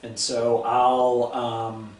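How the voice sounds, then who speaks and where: A man with an Ohio accent hosting a cooking and book chat, talking in a thoughtful, halting way with many ums. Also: medium pitch, monotone, a little mellow, distant mic. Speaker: host. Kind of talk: casual interview chat. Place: home kitchen. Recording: echoey room, voice off-mic, faint hum.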